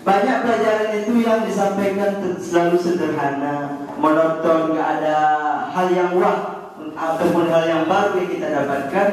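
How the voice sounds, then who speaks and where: A young man from Aceh with an Acehnese Indonesian accent, leading a calligraphy workshop in a relaxed, chatty way and putting questions to the students. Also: a little high, normal speed. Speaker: calligraphy trainer. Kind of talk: casual workshop talk to students. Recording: echoey hall, voice from far off, students around.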